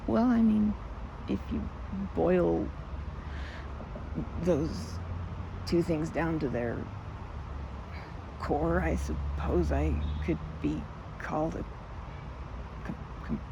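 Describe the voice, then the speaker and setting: A woman's voice: sits low, extremely slow, pauses often, heavily acted. A woman playing a timid, flustered young woman in an ASMR roleplay, stammering and hesitant, rambling self-effacingly. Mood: bashful, modest. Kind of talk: ASMR roleplay, one-sided conversation. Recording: clearly noisy outdoor ambience.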